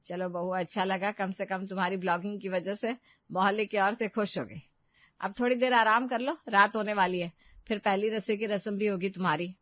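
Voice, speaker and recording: A woman's voice: medium pitch, fairly even intonation, brisk, dry recording, muffled recording, close to an everyday voice. Woman as a fretful mother-in-law, dry sound. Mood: indulgent. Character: middle-aged mother-in-law, mildly fretful but indulgent. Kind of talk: cartoon moral-story dialogue.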